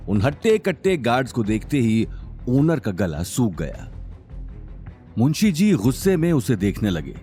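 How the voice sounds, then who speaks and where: A man's voice: mid-range voice, warm tone. A man narrating a scripted audio drama in a dramatic storytelling style.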